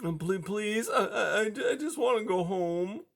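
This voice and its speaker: A woman's voice: medium pitch, expressive, unhurried, pitched above the performer's own voice. A woman voicing a small, terrified male mouse in a children's story, stammering and whimpering as he pleads.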